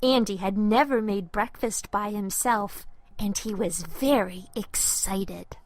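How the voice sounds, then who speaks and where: A woman's voice: medium pitch, takes it slowly, no echo. A woman reading a children's storybook as a scripted narration, expressively and with careful phrasing for young listeners.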